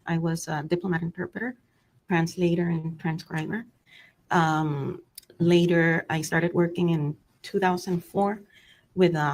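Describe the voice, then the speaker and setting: A middle-aged woman from the Miami area, born in Cuba with Spanish as her first language, testifying as a witness in a courtroom with a hesitant manner, listing terms with pauses. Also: lowish voice, low-quality recording.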